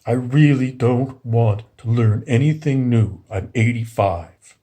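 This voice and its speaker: A man's voice: medium pitch, takes it slowly, mellow, slightly rough, pitched below the performer's own voice. A man acting out a world-weary eighty-five-year-old who is blunt and resigned, in an informal monologue.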